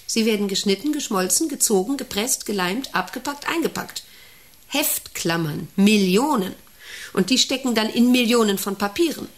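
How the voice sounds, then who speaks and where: An actress in a radio play as a domineering, eccentric factory director who rambles, commands and asks intrusive questions. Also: female voice, medium pitch, animated, moderate pace, lightly acted. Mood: obsessive. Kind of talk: German radio play acting.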